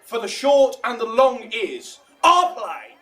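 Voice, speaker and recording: A man's voice: extremely high pitch, big pitch swings, takes it slowly, bright, forceful tone, heavily acted. A man playing an exuberant, self-important amateur actor in a theatrical Shakespearean audio drama, declaiming grandly and bossily. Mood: excited, bossy. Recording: roomy, distant mic, music behind.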